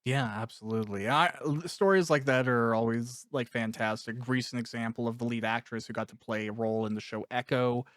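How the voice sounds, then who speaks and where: A man's voice: medium pitch, fairly even intonation, fairly quick, few pauses, a bit bright, no room sound, studio quality. A man chatting casually as an interviewer, rambling, blunt and self-deprecating. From Canada. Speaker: interviewer and author.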